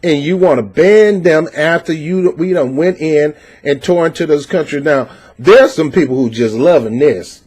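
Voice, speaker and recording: A man's voice: fairly high pitch, normal speed, few pauses, a little mellow, husky. A man giving opinionated political commentary, reading out a news article and reacting to it with passionate, preachy emphasis and frequent fillers. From United States.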